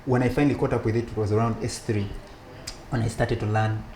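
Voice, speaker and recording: A man speaking as an interview guest, telling stories about his school years in a relaxed way, with frequent laughs and restarts. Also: on the low side, fast talker, a little hiss or hum, rough recording. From Uganda. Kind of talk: relaxed conversational storytelling.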